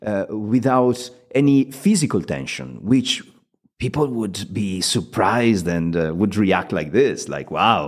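A man's voice: lowish voice, lively intonation, slow. A man hosting an interview show who speaks reflectively, with hesitant uhs. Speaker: podcast host. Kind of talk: interview conversation.

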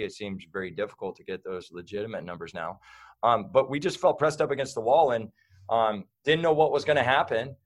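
A man talking as a guest in a casual interview, rambling with lots of fillers and restarts. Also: lowish voice.

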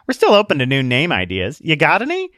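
A man's voice: fairly high pitch, fast talker, no room sound, studio quality, pitched above the performer's own voice, exaggerated voice. A man voicing a pushy, sarcastic young female sniper in a storybook reading. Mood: brash. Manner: bossy, sarcastic, excitable.

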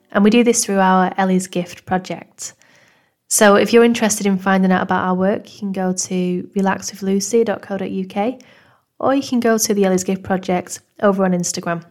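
A woman in her thirties from Manchester with a Manchester accent, hosting a podcast in a gentle, reflective, unhurried way. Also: mid-range voice. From England. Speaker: podcast host. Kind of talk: personal podcast monologue.

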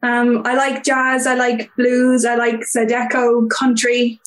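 A woman's voice: fairly high pitch, monotone, takes it slowly, reverberant. A young Irish woman, a guest, chatting casually and telling stories with frequent ums. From Ireland (Cork). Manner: chatty, storytelling with filler ums. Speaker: guest fan. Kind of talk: casual interview chat.

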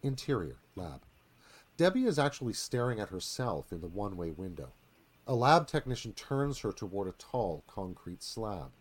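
Male narrator, lower pitch, very varied intonation, dramatic script reading. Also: sing-song, normal speed. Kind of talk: scripted screenplay narration for live radio theatre.

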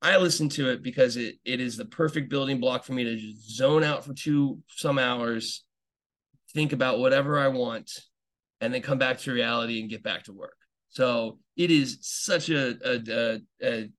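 A man's voice: mid-range voice, moderate pace, fairly bright tone. Chatty man rambling with many fillers. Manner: enthusiastic fan talk. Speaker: podcast host. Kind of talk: casual rambling monologue.

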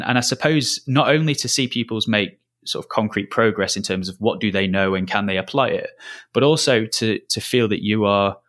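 A man's voice: on the low side, a bit bright. A man in his thirties with a Lancashire accent, a school's director of learning, answering interview questions in an earnest, thoughtful way with frequent restarts. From Lancashire, England. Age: 30s. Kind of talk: podcast interview.

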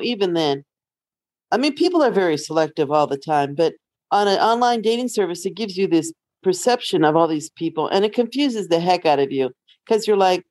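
A woman's voice: lowish voice, darkish tone, no room sound. A middle-aged woman co-hosting a podcast, talking casually in a wry, matter-of-fact way. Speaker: podcast co-host. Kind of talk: casual conversational chat.

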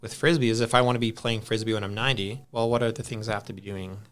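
A man's voice: on the low side, fairly even intonation, rapid-fire, fairly bright tone. A man in his thirties chatting casually in an anecdotal, self-deprecating way. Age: 30s. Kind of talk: casual chat.

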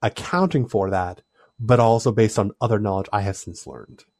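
A man in casual discussion, explaining his ideas in a thoughtful, animated way. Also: on the low side, normal speed, no room sound, clean studio sound.

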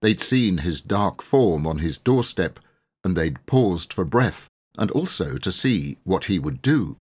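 Audiobook storyteller, male: expressive scripted narration, measured drama, husky tone, animated pitch. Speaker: audiobook reader.

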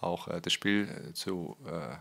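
Man, club managing director, press conference, rambling, many restarts, low, gravelly. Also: a little slow, bright, forceful tone. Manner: rambling, restarting, frequent "ja" and fillers.

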